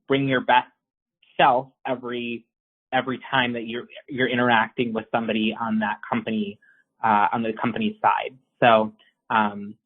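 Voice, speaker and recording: A man on a casual panel giving rambling advice about hiring processes, full of fillers and restarts, over a video call. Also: medium pitch. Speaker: panelist on job hunting. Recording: tinny phone-like audio.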